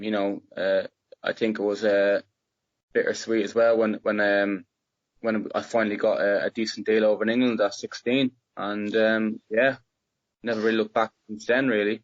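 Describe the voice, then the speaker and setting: Young Dublin-accented man, low-pitched, halting casual answers, muffled recording. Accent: Dublin Irish. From Ireland. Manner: hesitant, many fillers and restarts. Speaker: footballer guest.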